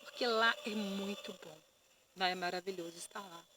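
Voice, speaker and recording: Earnest woman giving a halting testimony. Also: medium pitch, fairly even intonation, bright, forceful tone, a little hiss or hum. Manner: earnest, halting, repeats words. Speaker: pastor. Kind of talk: personal testimony. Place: indoors.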